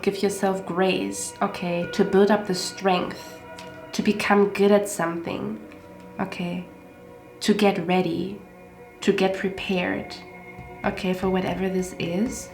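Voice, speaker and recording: A woman's voice: mid-range voice, steady tone, slow. Congested woman doing a tarot reading. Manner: repetitive, often checks in with "okay?". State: stuffy congested nose. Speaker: tarot card reader. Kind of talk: casual tarot reading monologue. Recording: roomy echo with faint music.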